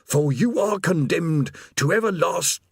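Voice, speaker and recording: A man's voice: animated, a little slow, no echo, studio quality, heavily acted. A man voicing a put-upon, mournful demon gatekeeper who grumbles like a tired petty official, in an audiobook story. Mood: menacing, forced politeness. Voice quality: rasping, rumbling. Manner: plodding recited customer-service patter breaking into chatty working-class grumbling.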